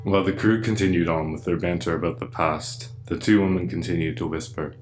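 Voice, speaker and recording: Guy narrating a radio drama, low voice, bit of music behind. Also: steady tone, fairly quick. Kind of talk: scripted radio play narration.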